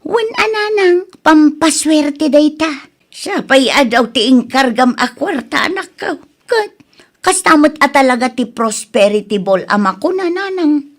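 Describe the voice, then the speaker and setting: An actress with an Ilocano Filipino accent playing an excitable, showy woman in a theatrical radio comedy drama. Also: high voice, measured pace. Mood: excited.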